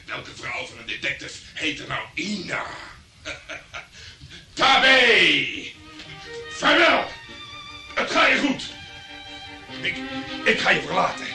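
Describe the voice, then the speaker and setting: A swaggering, womanizing private eye from a radio drama. His delivery is unhurried and swings widely in pitch. The voice is bright and forceful, full of crude bravado. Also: huge pitch swings, gravelly, soft background music, full character voice. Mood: bitter, defiant. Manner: swaggering, teasing, bragging. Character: cocky, crude, womanizing male private detective. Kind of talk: Dutch radio play acting.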